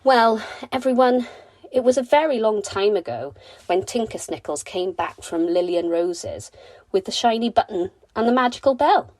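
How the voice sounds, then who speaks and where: A woman voicing a motherly gnome who speaks tactfully and in measured phrases to a group, in a children's storybook. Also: on the high side, expressive, a little mellow, no room sound, lightly acted. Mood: thoughtful.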